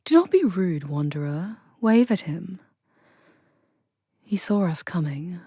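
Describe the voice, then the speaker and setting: A woman playing a charming, protective female demon guide in an intimate ASMR roleplay, with soft breathy delivery and formal, measured diction. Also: fairly low pitch, animated, no room sound, studio quality, exaggerated voice. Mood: hushed, teasing. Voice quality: soft, breathy, often whispery.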